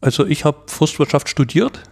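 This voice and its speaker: A man's voice: on the high side, lively intonation, normal speed, vocal fry, a little mellow, slightly rough. A man in his forties, a forester from Thuringia, giving brief, plain answers in an interview. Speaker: forester, guest.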